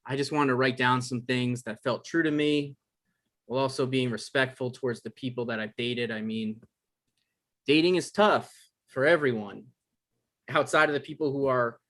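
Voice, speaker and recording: A man's voice: medium pitch, steady tone, moderate pace, a bit bright, lightly acted. An anxious male novelist with romantic troubles, played by a man at a table read. He is earnest and defensive, stumbling a little as he explains himself in a middling register. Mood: nervous, earnest. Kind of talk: video-call table read acting.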